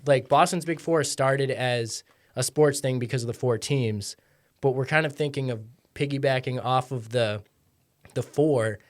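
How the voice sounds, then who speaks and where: A man's voice: mid-range voice, moderate pace. A man appearing as a guest on a podcast in casual chat, explaining at length with lots of "like" fillers. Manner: rambling and explanatory, full of "like" fillers.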